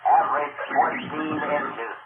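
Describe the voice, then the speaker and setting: Radio operator through static. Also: male voice, a little high. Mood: terse, official. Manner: terse, measured. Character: terse male field agent sending coded radio reports. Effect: radio transmission. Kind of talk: old-time radio drama acting. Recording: tinny, noisy radio transmission.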